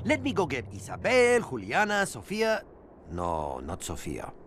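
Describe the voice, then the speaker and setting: A man's voice: medium pitch, huge pitch swings, a little slow, a bit bright, a little hiss or hum. A man with a Latin American Spanish accent voicing a cocky, flirtatious little penguin in a video game. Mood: scheming, playful. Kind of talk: animated video game voice acting.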